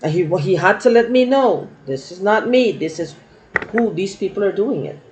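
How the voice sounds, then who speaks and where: A woman's voice: medium pitch, animated, normal speed, a little mellow, slight background noise. A woman vlogging in a rambling, aggrieved monologue, circling back and repeating herself. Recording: dull lo-fi recording.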